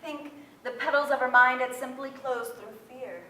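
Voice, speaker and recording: An actress playing a gentle, shy young woman in a theatrical staged reading. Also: sits high, steady tone, moderate pace. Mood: tender. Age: young adult. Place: theatre stage before an audience. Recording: echoey distant stage microphone, violin music. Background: violin music.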